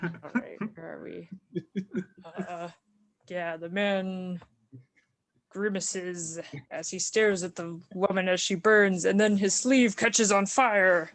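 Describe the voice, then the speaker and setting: A woman's voice: fairly low pitch, steady tone, slow pace, a bit bright. A woman casually improvising descriptions of comic panels, amused and hesitant as she searches for words.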